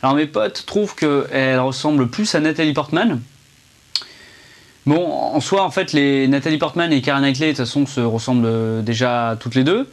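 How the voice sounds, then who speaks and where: A man's voice: mid-range voice, moderate pace. A young man giving a casual, rambling film review, chatty and full of fillers and restarts, speaking indoors to a camera under a ring light.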